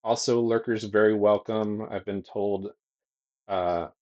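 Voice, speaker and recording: A man's voice: on the low side, fairly even intonation, unhurried, a little mellow, lo-fi sound. A young man with a soothing voice, casually chatting with viewers during a livestream and reading chat aloud with frequent ums. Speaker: streamer and digital sculptor. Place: home streaming room with green lighting.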